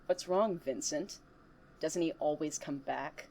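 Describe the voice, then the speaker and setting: Smug, needling student seer for audio drama, played by a woman; low register, theatrical, cryptic. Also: measured pace, noisy background. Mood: taunting. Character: taunting, smug, cryptic student who claims visions.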